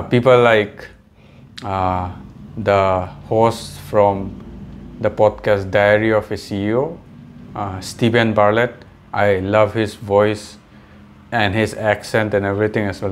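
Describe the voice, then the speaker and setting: A man's voice: on the low side, slight background noise. A young man who speaks English as a third or fourth language, with an accent that mixes British, American and Indian English, talking hesitantly to the camera and often stopping to correct himself. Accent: mixed British, American and Indian-influenced English. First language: not English. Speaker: vlogger. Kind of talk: casual talk to camera.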